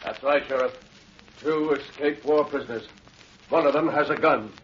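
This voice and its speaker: A man's voice: mid-range voice, normal speed, close to an everyday voice. An actor plays a decent, well-off homeowner in an old-time radio drama. He speaks earnestly and urgently in a mid-range voice. The sound is dull, with a faint hiss. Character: decent wealthy male house owner. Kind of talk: 1940s radio acting.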